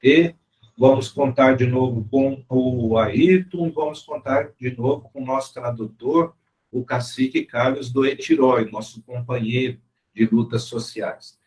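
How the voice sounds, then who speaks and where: A man's voice: mid-range voice, steady tone, a little slow, a little mellow, poor audio. A man hosting a video-call interview, courteous and formal, closing with blessings. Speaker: interview host.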